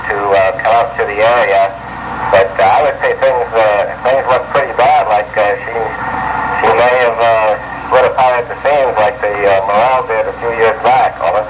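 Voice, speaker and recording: A man working as a coast guard radio operator, using formal radio procedure over VHF with hesitant, halting delivery. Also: on the low side, fairly even intonation. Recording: very noisy VHF radio transmission, archival.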